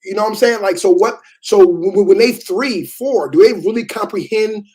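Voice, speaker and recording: Husky, high-voiced man chatting casually. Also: normal speed, a little mellow. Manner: laid-back, repeats phrases, many fillers. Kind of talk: casual chat.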